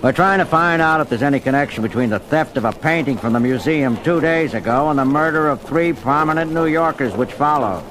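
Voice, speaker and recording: An actor playing a businesslike homicide captain in a seventies audio drama, matter-of-fact and plain-spoken. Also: male voice, on the high side, a little fast, few pauses, background noise, natural voice. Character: businesslike male New York police homicide captain. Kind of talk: 1970s superhero record audio drama acting.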